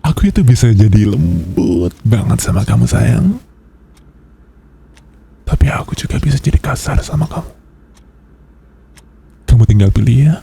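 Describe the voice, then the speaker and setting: A man playing a possessive, menacing young boss boyfriend in an intimate ASMR roleplay, murmuring with coaxing, controlling softness. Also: lowish voice, lively intonation, full character voice. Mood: teasing, possessive. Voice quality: breathy, husky murmur. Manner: slow, coaxing, controlling, with soft threats.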